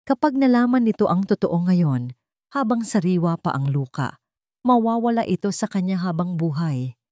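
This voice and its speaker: A woman's voice: lowish voice, expressive, moderate pace, darkish tone, no room sound, studio quality. A woman narrating a scripted dramatic story as a storyteller.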